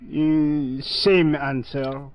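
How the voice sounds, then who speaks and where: An actor playing a broke, fussy, theatrical French painter with a French accent in an old-time radio drama. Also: male voice, a little high, fairly even intonation, extremely slow. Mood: wheedling, mock offended. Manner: hesitant, exclamatory, peppered with French phrases. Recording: dull vintage radio recording.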